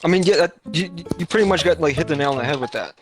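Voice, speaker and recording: A man's voice: a little high, animated, rapid-fire, soft background music. A man hosting a casual podcast over a voice call, rambling with many fillers and restarts. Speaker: podcast host.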